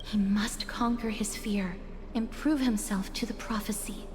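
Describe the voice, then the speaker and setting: A woman voicing a gentle, devout young priestess in a video game, speaking softly, formally and with breathy reverence. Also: mid-range voice, steady tone, a little slow, a little hiss or hum, lightly acted. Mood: solemn, sorrowful. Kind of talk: English video game voice acting. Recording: long reverb, clear music behind.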